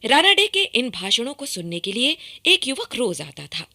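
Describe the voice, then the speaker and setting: A woman delivering formal, emphatic scripted narration for a historical radio documentary, in measured phrases. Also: on the high side, sing-song, sharp tone.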